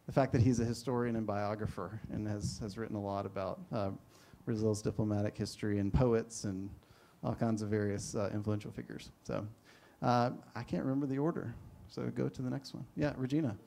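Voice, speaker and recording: Guy moderating a panel, mellow tone, kinda rambly and jokey, slight background hiss. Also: lowish voice, fairly even intonation, fairly quick, creaky, hardly any pauses. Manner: rambling, self-deprecating, many fillers and restarts. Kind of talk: introducing panelists at a symposium.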